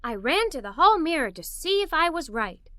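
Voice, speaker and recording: A woman reading a children's story aloud in the voice of a young girl narrator, with a theatrical, expressive delivery. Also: high voice, moderate pace, dry recording, clean studio sound.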